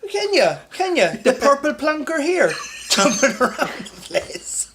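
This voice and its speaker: A man's voice: extremely high pitch, big pitch swings, a little slow, background noise. An Irish man co-hosting a comedy podcast, bantering casually in an exasperated, sweary grumble. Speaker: podcast co-host.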